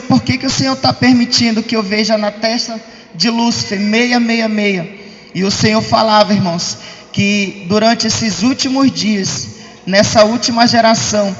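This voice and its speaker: A man's voice: high voice, fairly bright tone. A man with a Brazilian accent giving an emphatic religious testimony to a congregation, repeatedly calling out to them as brothers. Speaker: church member giving testimony. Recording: dull, muffled sound in reverberant hall.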